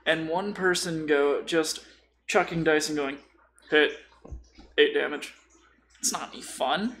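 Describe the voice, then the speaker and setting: A man's voice: fairly high pitch, fairly even intonation, a little slow, a bit bright. A man chatting casually about tabletop gaming in a joking, informal way with some mild swearing. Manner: joking, informal, mildly profane. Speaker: podcast host.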